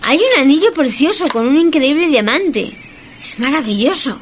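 A woman's voice: sits high, lively intonation, normal speed, a little hiss or hum. An actress with an accent from Spain playing a firm, principled female thief in an audio drama. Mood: wonder.

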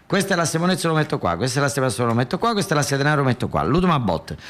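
A man's voice: medium pitch, big pitch swings, racing, fairly bright tone, pitched above the performer's own voice. A man voicing a slick, cocky street card-trick hustler with a southern Italian accent and a repetitive pattering spiel, in a comic stage monologue.

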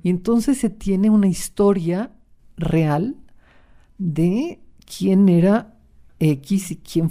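A woman's voice: on the low side, warm tone. A woman with a Mexican accent, a guest in a radio interview, speaking reflectively and often closing her phrases with a questioning tag.

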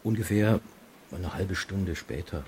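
An actor playing a reserved drugstore owner who answers questions tersely and deliberately in a radio crime drama. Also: male voice, low-pitched, a little mellow, a little hiss or hum. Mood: reserved, matter-of-fact. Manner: laconic, deliberate.

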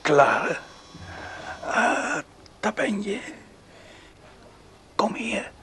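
An actor playing a frail, bedridden old father in a radio play, breathy and halting, weary and gently resigned. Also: high voice, very animated, slight background noise, full character voice. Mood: weakly affectionate. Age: older. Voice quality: breathy, whispery, creaky.

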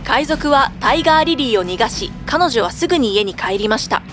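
A woman's voice: high voice, animated, brisk, over music. A woman narrating a scripted children's fairy tale as a storyteller.

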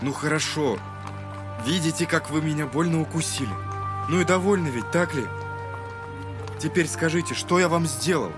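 An actor playing an earnest, gentle young man in an audio drama, polite and pleading. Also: on the high side, normal speed, pauses often, natural voice. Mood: earnest, questioning. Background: music.

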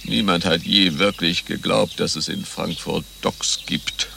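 Spy boss for radio satire: very low pitch, creaky, self-important, prone to stutters and botched words. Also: male voice, fairly even intonation, a little slow, bright, forceful tone, a little hiss or hum, heavily acted. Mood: grave, pompous. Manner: stammers, stumbles over words and slips. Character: pompous stammering male spy chief, satirical. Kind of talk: satirical German radio play acting. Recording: music under the voice.

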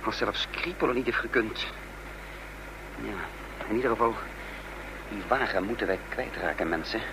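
Een acteur die in een hoorspel een vastberaden voortvluchtige dubbelganger speelt, bedachtzaam sprekend en dan weer bevelend. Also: male voice, lowish voice, normal speed, natural voice. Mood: pensive. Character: resolute male fugitive impostor leading his companions. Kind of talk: radio drama acting. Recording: noisy old radio play recording.

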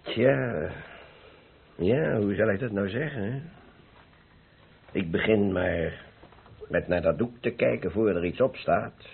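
An actor playing a jovial middle-aged master painter, teasing and chuckling, in an old Dutch radio play. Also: male voice, lowish voice, slow pace, creaky, pauses often, slightly rough, full character voice. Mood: musing, encouraging. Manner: hearty, chuckling, repeats himself. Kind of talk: old radio drama acting. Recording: tinny, noisy old radio play recording.

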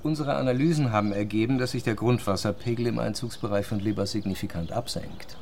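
An actor playing a smooth-talking, unruffled water company chief in a naturalistic radio drama. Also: male voice, on the low side, fast, darkish tone, slight background noise, natural voice. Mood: coolly persuasive. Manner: corporate jargon, tag questions, unruffled. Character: smooth-talking, self-assured male water company CEO.

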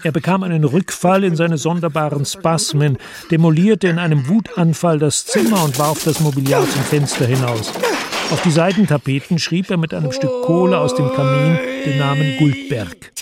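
A man reading scripted literary narration for a radio play in a measured, matter-of-fact way. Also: a little high, noisy background.

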